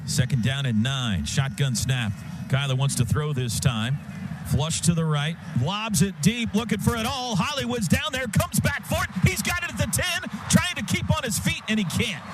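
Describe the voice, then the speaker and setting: An American man calling live football on the radio in clipped phrases, lifting with excitement as plays open up. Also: high-pitched, normal speed. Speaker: radio play-by-play announcer. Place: stadium radio booth. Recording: loud stadium noise behind broadcast booth. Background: loud outdoor stadium ambience.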